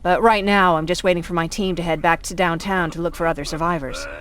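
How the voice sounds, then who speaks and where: A woman's voice: on the low side, fairly even intonation, fast talker, a bit bright, clean tone, close to an everyday voice. A woman playing a weary, self-doubting survivor in a video game, confiding in a subdued way. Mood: guarded.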